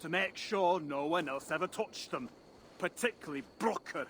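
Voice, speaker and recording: Plain-spoken male dwarf for game cutscenes, man acting, brusque, fairly high and bright, ambient noise underneath. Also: normal speed, close to an everyday voice. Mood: matter-of-fact. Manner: plain-spoken, matter-of-fact, scoffing. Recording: clear background ambient noise.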